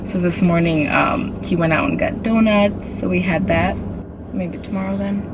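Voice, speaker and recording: Hoarse lady vlogging from the truck, kinda low voice, says like and um a lot, road noise all over it. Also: steady tone, moderate pace. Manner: chatty, filler-heavy, with frequent "like" and "um". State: hoarse from a cold. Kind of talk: casual vlog chat. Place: inside a moving truck. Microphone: handheld camera.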